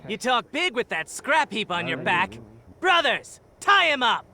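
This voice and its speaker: A man's voice: extremely high pitch, big pitch swings, measured pace, crowd noise, exaggerated voice. A man voicing a cocky, theatrical gang thug in a video game. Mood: threatening. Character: cocky rat-gang thug, fawning toward his betters. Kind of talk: video game cutscene acting.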